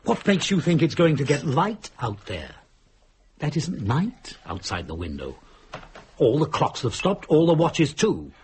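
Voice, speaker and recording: An agitated husband caught in a supernatural thriller. His voice is very rough and breathy, with pitch that leaps around as he presses his argument. He sounds urgent and insistent, in the manner of dramatic dialogue. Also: huge pitch swings, measured pace, darkish tone, exaggerated voice. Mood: agitated, demanding. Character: agitated, insistent husband trapped in a haunted house.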